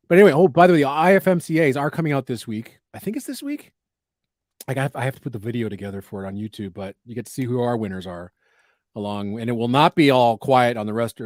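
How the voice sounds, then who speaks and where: A man's voice: medium pitch, darkish tone, no echo. Rambling man, dry close voice. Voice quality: scratchy voice from allergies. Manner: rambling, quick asides. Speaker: podcast host. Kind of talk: casual livestream chat.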